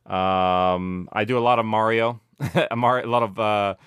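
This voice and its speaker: Male interview guest, low smooth voice, dry close sound, casual rambling delivery with self-corrections. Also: unhurried, no room sound. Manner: animated rambling, self-correcting, peppered with "like" and "you know". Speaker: interview guest, audio drama producer.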